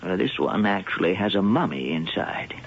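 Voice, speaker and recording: An actor playing a dry, wry museum zoologist in a vintage radio drama, heard on a muffled old broadcast. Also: male voice, low-pitched, very animated, moderate pace, gravelly, slight background noise, full character voice. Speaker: zoologist professor. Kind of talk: 1970s radio drama acting.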